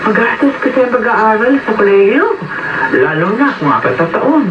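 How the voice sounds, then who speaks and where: Animated motherly landlady, Filipino radio drama. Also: a little high, moderate pace. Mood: amused, practical. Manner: coaxing, encouraging, expressive. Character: kindly, motherly older neighbourhood landlady. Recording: roomy, distant, dull radio recording with music.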